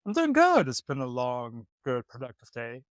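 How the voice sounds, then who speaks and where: A man's voice: mid-range voice, wildly swooping pitch, dry recording, clean studio sound. Easygoing male podcast host. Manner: easygoing, halting, many "um"s. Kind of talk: casual interview chat. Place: hostel room.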